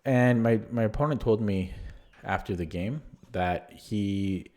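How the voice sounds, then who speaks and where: A man going over one of his own chess games move by move in a relaxed, rambling way, with a voice that is going hoarse. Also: lowish voice, measured pace. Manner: rambling, many fillers and restarts. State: losing his voice. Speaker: chess player reviewing his own game. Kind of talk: casual spoken chess analysis.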